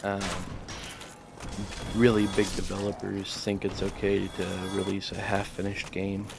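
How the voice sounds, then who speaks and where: A man hosting a casual let's play, talking in a monotone and rambling with many fillers. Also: sits low, measured pace, vocal fry, over music. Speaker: gaming channel host.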